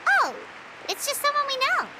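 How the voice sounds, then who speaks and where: A woman voicing a small, cheerful, chatty fairy girl with a squeaky childlike voice for a video game. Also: huge pitch swings, normal speed, background noise, full character voice. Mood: eager. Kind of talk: video game cartoon-like acting.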